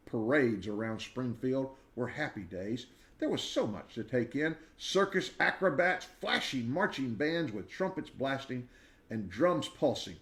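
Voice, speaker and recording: A man reading a children's book aloud in an expressive storytelling style. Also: medium pitch.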